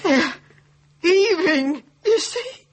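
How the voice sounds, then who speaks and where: An actress playing a deferential, talkative housekeeper who startles easily, speaking colloquial British English in a radio drama. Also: female voice, extremely high pitch, lively intonation, very slow, a little hiss or hum, exaggerated voice. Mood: uneasy, insistent.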